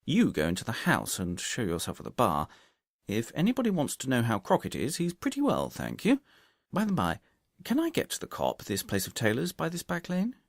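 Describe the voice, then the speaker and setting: Man reading as a precise, animated detective. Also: mid-range voice, creaky, dry recording, clean studio sound, pitched above the performer's own voice, exaggerated voice. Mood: brisk, courteous. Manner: measured, precise, explanatory. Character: calm, shrewd Victorian male detective, politely methodical.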